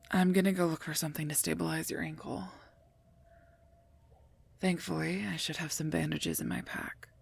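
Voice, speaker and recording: A woman as a whispering, gravelly protective werewolf knight. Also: deep voice, full character voice. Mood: serious, urgent. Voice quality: breathy, soft whisper. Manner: formal, gently scolding, earnest. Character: stern, protective female werewolf knight guarding royalty. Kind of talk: whispered ASMR roleplay.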